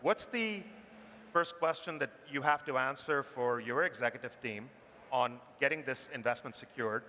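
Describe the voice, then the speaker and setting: A man moderates a conference panel and builds long, layered questions for each speaker. His voice sits at a middle pitch with a bright tone. A reverberant hall and faint ambience wrap around him. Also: moderate pace, slight background noise. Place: conference stage in a large hall.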